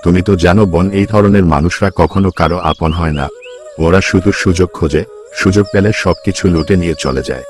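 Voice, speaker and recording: A man's voice: low-pitched, fast talker, close to an everyday voice. A man voicing a sly middle-aged village headman in a cartoon, coaxing with false friendliness. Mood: persuasive. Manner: persuasive, wheedling, falsely friendly. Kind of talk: Bengali cartoon story voice acting.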